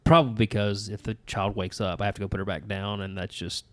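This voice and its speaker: A man's voice: on the low side, steady tone, fast talker, no echo. A man, co-hosting a podcast in a folksy, rambling, self-deprecating way. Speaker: podcast co-host. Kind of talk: casual chat.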